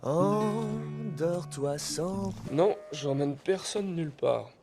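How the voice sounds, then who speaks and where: A man voicing a young, gruff, blunt mountain man in an animated film dub. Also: sits high, drawn-out words, over music, full character voice.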